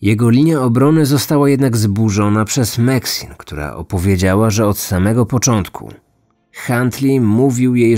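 Low-voiced man narrating true crime over music. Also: dark tone. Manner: measured, serious storytelling. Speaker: true crime podcast host. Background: background music.

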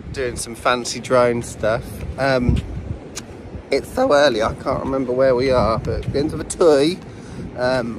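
A man's voice: medium pitch, noisy background. A man in his thirties, a travel vlogger, talking casually to a handheld camera on an outdoor beach, marvelling at the scenery. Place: outdoors on a wide beach at dawn. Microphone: handheld camera at arm's length. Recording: music playing under the voice.

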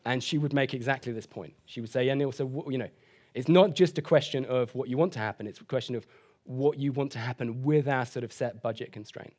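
A man in his thirties answering questions after a keynote at a conference, hedging often and speaking quickly. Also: mid-range voice, darkish tone. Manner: hedging, sort of, you know. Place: conference hall stage.

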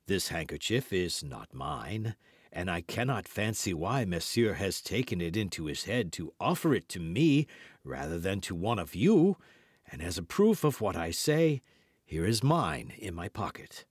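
A man voicing a haughty young musketeer in an audiobook, measured and coldly polite, with a mild but biting manner. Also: fairly low pitch, sing-song, darkish tone, no echo, clean studio sound. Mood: mild denial. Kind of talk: audiobook character dialogue.

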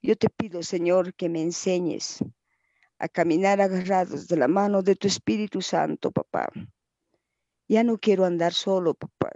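A woman praying aloud in an earnest, pleading way, often fading softly at the end of each phrase. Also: on the low side, steady tone, moderate pace, no echo, dull audio. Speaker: person praying. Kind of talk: spontaneous devotional prayer.

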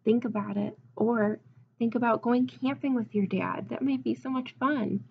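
A woman's voice: fairly high pitch, normal speed, vocal fry, slight background noise. Young woman reading to kids, dull audio. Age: 20s. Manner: expressive, simple explanations addressed to children. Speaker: teacher reading aloud to children. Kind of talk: picture-book read-aloud with asides and questions to young children. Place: small classroom, indoors.

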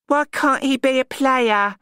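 Man voicing a high-pitched, dreamy young woman. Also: slow pace, sharp tone, clear, resonant tone, dry recording, clean studio sound, exaggerated voice. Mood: naive.

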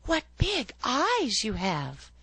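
A woman voicing a cheerful, innocent young girl in a read-aloud fairy tale, with a bright, sing-song delivery. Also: fairly high pitch, huge pitch swings, slow pace, slightly rough, dry recording, pitched above the performer's own voice, exaggerated voice. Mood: wondering.

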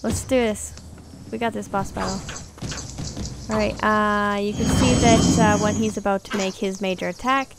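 Creaky-voiced woman doing casual gameplay commentary. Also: medium pitch, steady tone, normal speed, very noisy. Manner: chatty, thinking aloud, exclaiming at the game. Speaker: video game player and commentator.

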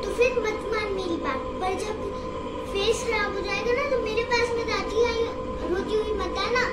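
A woman's voice: extremely high pitch, normal speed, heavy background noise, voice pushed up. A young girl playing a scolding, exasperated mother in a home-made story, nagging and exclaiming. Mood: threatening. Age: child, under twelve.

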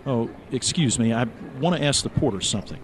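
An actor playing a blunt, dogged writer in a radio drama, speaking in a direct, questioning and insistent way. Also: male voice, medium pitch, expressive, fairly quick, a little hiss or hum, close to an everyday voice, rough recording. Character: dogged, blunt American writer probing a friend's death.

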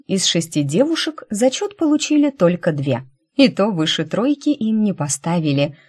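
Woman narrating fiction, softly dark, evenly pitched. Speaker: audiobook narrator. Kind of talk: scripted narration of a fantasy novel.

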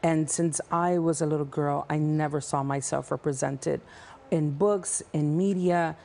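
A woman's voice: deep voice, creaky. A woman in her thirties from El Salvador, a nonprofit founder talking passionately as a guest in a TV news studio on a lapel mic. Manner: passionate, fast-flowing, animated hand gestures. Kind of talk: TV studio interview.

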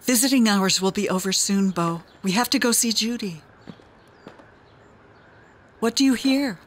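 A woman's voice: mid-range voice, measured pace, lightly acted. A woman playing an anxious, pleading wife in an audio drama. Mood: worried, puzzled.

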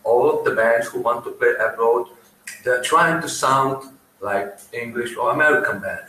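Man, panel guest, halting delivery, distant echoey live recording. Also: mid-range voice, bright, forceful tone, a little hiss or hum. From Slovenia. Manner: halting, searching for words. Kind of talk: panel discussion. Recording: roomy and distant live feed with faint ambience.

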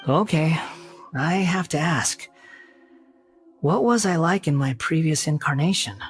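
A youthful wanderer, composed and guarded. He speaks formally and with measured reserve, in video game drama style, with faint music behind him. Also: on the high side, lively intonation. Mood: resolute. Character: young male wanderer with lost past, polite, composed, guarded.

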